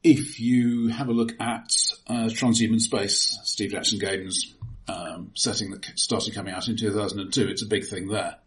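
A man's voice: lowish voice, steady tone, normal speed. A man co-hosting a conversational film discussion, explaining technical points analytically and often restarting his words. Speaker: podcast co-host.

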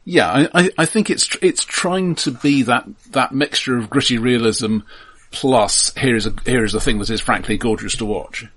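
A middle-aged man co-hosting a film podcast in a halting, analytical way, repeating words as he thinks through his explanations. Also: medium pitch, a bit bright. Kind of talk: casual film discussion.

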